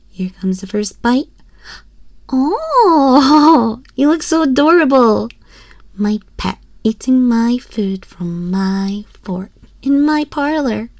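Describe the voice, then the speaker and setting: A woman's voice: on the high side, a little mellow, dry recording. A woman voicing a haughty, teasing, domineering young empress in an ASMR roleplay, condescending and often dropping toward a whisper. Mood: cooing, smug. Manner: condescending, slow, breathy purring with whispers. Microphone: close binaural microphone.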